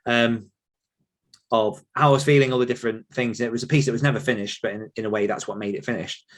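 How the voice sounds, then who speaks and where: A man's voice: mid-range voice, steady tone, fast talker. A man speaking earnestly and conversationally as an author coach being interviewed.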